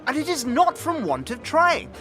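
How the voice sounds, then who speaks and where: A man's voice: extremely high pitch, wildly swooping pitch, moderate pace, sharp tone, exaggerated voice. A man playing a nervous, bookish records clerk in an audio drama, speaking formally and apologetically with a fussy stammer. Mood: earnest, intrigued. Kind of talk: acted audio drama dialogue. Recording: music under the voice.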